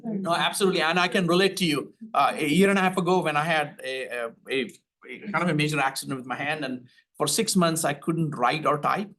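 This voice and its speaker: A man's voice: on the high side, fairly quick, fairly bright tone. An older man with an Indian accent, a panel expert answering audience questions earnestly, often restarting his sentences. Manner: earnest, repeats words when starting, personal anecdotes.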